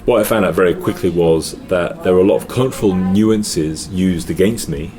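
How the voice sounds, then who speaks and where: Deep-voiced Englishman telling stories. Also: normal speed, a crowd in the background. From England. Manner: storytelling, restarts with repeated words. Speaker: guest. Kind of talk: casual chat. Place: noisy hotel.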